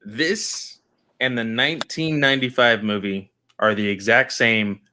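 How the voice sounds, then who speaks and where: A man's voice: mid-range voice, steady tone, unhurried, sharp tone, slight background noise. A man co-hosting a podcast in casual chat, making his points emphatically and repeating them for stress. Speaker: podcast co-host.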